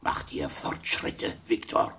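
An actor playing a commanding, contemptuous Soviet general in a German radio drama, declaiming slowly or muttering. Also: male voice, bright, forceful tone, whispered. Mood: low, scornful.

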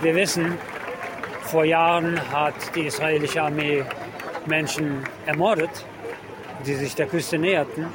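A man giving a live radio report outdoors in a halting, descriptive way as he observes the scene. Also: fairly high pitch, little pitch movement. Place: outdoors at a busy city harbour. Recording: loud crowd and outdoor urban harbour noise. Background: large crowd chanting and applauding.

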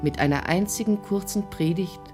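A woman's voice: mid-range voice, moderate pace, over music. A woman reading scripted literary narration as the narrator of an audio drama.

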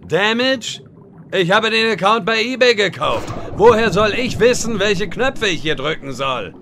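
A man acts a vain and clueless grand wizard from an online-game parody. He talks pompously in a slightly high male voice, with clear noisy ambience behind him. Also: expressive, unhurried. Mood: defensive. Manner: pompous, self-important. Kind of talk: comedic German radio play parody. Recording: loud sound-effect ambience behind voice.

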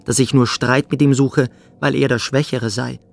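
An actor playing a smug, quietly cruel young boarding-school pupil, telling his story theatrically in a radio play. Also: medium pitch, fairly even intonation, moderate pace, gravelly, close to an everyday voice. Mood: gloating. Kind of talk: dramatic first-person storytelling in a radio play.

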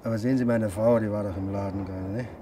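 An actor playing a chatty, sheepish fishmonger in a 1960s German radio crime play, talking in a colloquial, folksy way. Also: male voice, fairly low pitch, steady tone, quick pace, dark tone, natural voice. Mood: sheepish, confiding. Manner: colloquial, folksy, tag questions. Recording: faintly noisy 1960s radio play recording.

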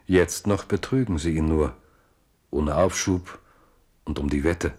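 An actor as the grave, knowing narrator of a historical radio play. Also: male voice, rumbling low, measured pace, a little mellow, slightly rough, heavily acted. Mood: grave, foreboding.

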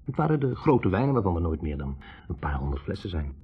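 A man's voice: low voice, sing-song, fast, breathy edge, faint music behind, full character voice. An actor playing a young man, a physics student, hesitantly recalling a troubled love in a conversational 1960s radio play monologue. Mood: wry recollection. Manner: hesitant, self-correcting, reflective. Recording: muffled old radio recording.

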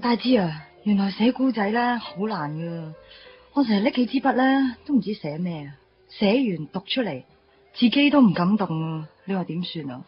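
An outspoken young woman played by an actress in a Hong Kong Cantonese radio drama. She speaks at a middling pitch, chatty and ready to chide. The sound is slightly muffled, with faint music underneath. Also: lively intonation, moderate pace, lightly acted. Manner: chatty, frank, chiding. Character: outspoken, playful young woman, old first love.

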